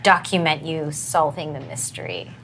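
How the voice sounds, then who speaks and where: An actress playing a hesitant, earnest woman interviewing coworkers, talking haltingly in naturalistic audio drama dialogue. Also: sits low, big pitch swings, fairly bright tone, a little hiss or hum, heavily acted. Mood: awkward. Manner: tentative, halting.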